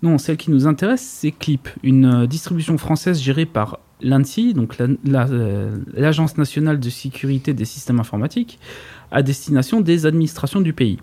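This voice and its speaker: A man's voice: medium pitch, normal speed, dark tone. A man reading a prepared tech segment on a radio show, dropping dry, ironic asides.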